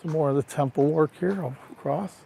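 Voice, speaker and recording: A man with a raspy, allergy-roughened voice chatting casually to viewers while walking outdoors, recorded on a handheld phone. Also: fairly high pitch, a little fast, warm tone, slight background noise. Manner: chatty, greets viewers by name. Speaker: livestream vlogger. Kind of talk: casual live walking tour. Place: outdoors on a town street.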